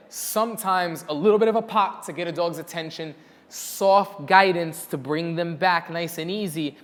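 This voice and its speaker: A man's voice: on the high side, fairly bright tone, in a large hall. A man who trains dogs, answering viewer questions in a casual teaching style and repeating words for emphasis. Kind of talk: casual instructional Q&A.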